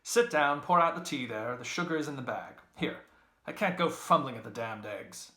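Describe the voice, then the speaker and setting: A man acts out a boisterous young Irishman from a novel, mocking and theatrical. He declaims brightly and teasingly, lifted above a plain talking pitch, in a roomy recording. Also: expressive, normal speed. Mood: exuberant. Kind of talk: literary audiobook character voice.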